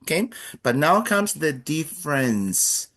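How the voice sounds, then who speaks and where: A man's voice: on the high side, big pitch swings, slow, a bit bright. A man from Chile with a Chilean accent, a native Spanish speaker, teaching a language class in an encouraging, playful way with many fillers.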